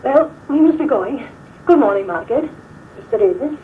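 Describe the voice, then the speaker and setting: A woman's voice: sits high, animated, normal speed. An actress playing a genteel, chatty village woman with a Welsh accent, polite and assured, in 1950s film dialogue. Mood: brightly polite. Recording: thin phone-like old film tape, faint hiss.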